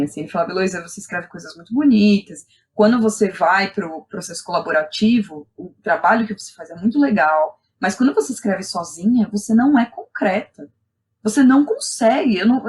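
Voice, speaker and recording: A young Brazilian woman speaking as a podcast guest, telling personal stories in an animated, colloquial way and often checking in with the listener. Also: medium pitch. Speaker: guest, playwright and theatre director. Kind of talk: casual personal storytelling in a podcast interview.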